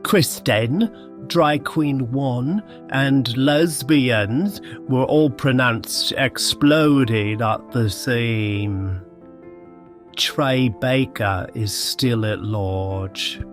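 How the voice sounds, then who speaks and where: A man voicing a slow, solemn mock announcer in parody epilogue narration. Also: mid-range voice. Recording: music under the announcement.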